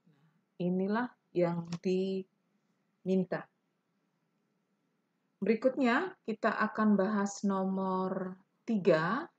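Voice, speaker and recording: A woman's voice: mid-range voice, extremely slow, pauses often, breathy edge, dull audio. A woman teaching a math lesson, explaining each step patiently and answering imagined student questions.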